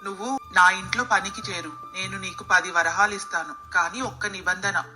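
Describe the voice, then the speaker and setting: A woman's voice: medium pitch, fairly even intonation, normal speed, over music, natural voice, low-quality recording. A woman voicing a jealous, haughty rich housewife in a bedtime story, scornful and commanding. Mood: scornful, bossy. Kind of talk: acted dialogue in a moral bedtime story.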